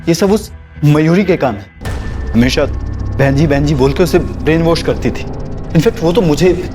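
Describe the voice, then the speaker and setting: A nervous young man, stammering as he pleads his innocence, as an actor plays him. His voice sits a little high, and steady dramatic music plays behind him. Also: normal speed, lightly acted. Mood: pleading. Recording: music score underneath.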